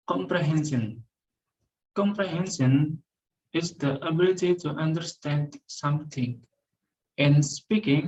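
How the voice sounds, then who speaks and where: A young man, a student reading a class presentation carefully, pausing and correcting himself. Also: a little high, a little mellow, highs cut off, a little hiss or hum.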